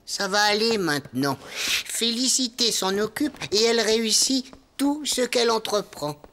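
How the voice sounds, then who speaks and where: A woman's voice: fairly high pitch, expressive, a little slow, fairly bright tone, slight background noise. A woman voicing a no-nonsense, reassuring animal hospital colleague in a children's cartoon. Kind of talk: French-dubbed children's cartoon acting. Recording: faint music behind.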